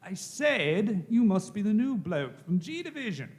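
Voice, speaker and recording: High, animated police sergeant, acted by a man. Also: unhurried. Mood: brisk. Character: brusque, baffled police station desk sergeant. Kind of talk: live radio-play acting.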